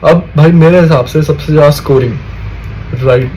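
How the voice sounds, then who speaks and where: A man's voice: a little high, moderate pace, a little mellow, highs cut off, a little hiss or hum. A young man in casual talk to his viewers, giving exam tips in a chatty way, often calling them brother and checking they follow. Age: young adult. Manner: chatty, addresses viewers as "bhai", repeats "theek hai". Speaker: student vlogger.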